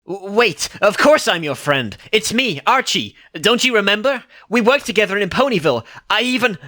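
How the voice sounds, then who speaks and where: Jittery, high-strung frightened pony played by a man. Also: animated, moderate pace, sharp tone. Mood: pleading. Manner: stammering, panicky. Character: frightened young male pony, jittery and desperate. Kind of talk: acted audio story reading.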